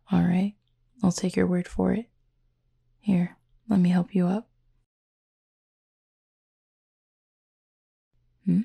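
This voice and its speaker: Woman playing a low, breathy, gentle cupid roommate. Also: exaggerated voice. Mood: softly amused. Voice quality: soft, breathy, husky. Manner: measured, formal wording, calm and reassuring. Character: gentle, composed horned cupid roommate, tenderly teasing. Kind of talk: intimate roleplay audio, soft-spoken.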